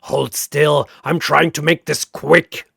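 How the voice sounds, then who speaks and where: A man voicing a cocky, bad-tempered living snowman who taunts like a street tough in a gravelly rasp, in a fantasy battle story. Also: fairly high pitch, fairly even intonation, slow pace, a bit bright, no room sound, clean studio sound, full character voice. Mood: aggressive taunting. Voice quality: gravelly, rasping.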